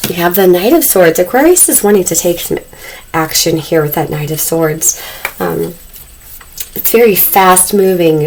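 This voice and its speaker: Tarot reader, woman, calm and chatty, slightly hoarse, with a gravelly low voice. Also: low-quality recording. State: losing her voice, a little husky. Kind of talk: casual tarot reading to camera. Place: dim room indoors lit by candles. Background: faint noise from loud neighbors upstairs.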